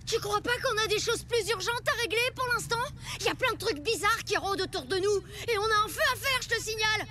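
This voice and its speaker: A woman voicing an anxious, excitable young boy in a dubbed cartoon, with an urgent, jittery delivery. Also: very high-pitched, brisk, heavy background noise. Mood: jittery, bossy. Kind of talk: animated series dubbing. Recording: music playing behind.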